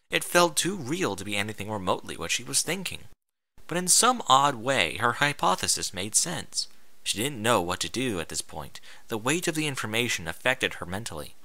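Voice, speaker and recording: Animated, bright-voiced man reading stories. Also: medium pitch, no room sound. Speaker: amateur fan fiction reader. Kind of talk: dramatic storybook narration with comic edge.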